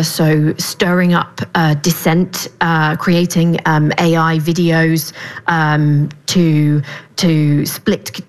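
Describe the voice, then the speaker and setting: A woman with a fairly low voice speaks as a correspondent being interviewed. She explains and recounts her reporting in a spoken analytical style. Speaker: news correspondent, guest.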